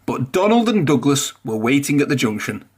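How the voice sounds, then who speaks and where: A man narrating a children's story aloud in an expressive read-aloud style. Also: mid-range voice, brisk, slightly rough. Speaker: storybook reader.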